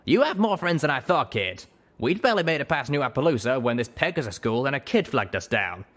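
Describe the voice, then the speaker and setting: A man voicing a brisk, wry female griffin mercenary leader in a storybook reading, chatty and blunt. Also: medium pitch, big pitch swings, fairly bright tone, voice pushed up. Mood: wry, tolerant.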